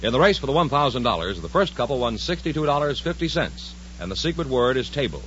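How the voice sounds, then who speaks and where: A man working as the show's announcer, with a brisk and polished delivery. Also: mid-range voice, big pitch swings, a bit bright. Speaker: show announcer. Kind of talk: broadcast announcing. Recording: dull 1950s broadcast recording with faint hiss.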